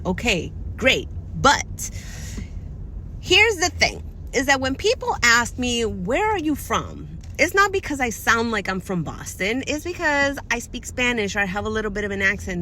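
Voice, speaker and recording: A young American woman talks straight to her viewers in a casual, unscripted monologue, frustrated and blunt, cursing often. Her voice is bright and sits a bit high. Faint traffic sounds hum behind her. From United States. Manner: candid, frustrated, swears freely. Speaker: vlogger.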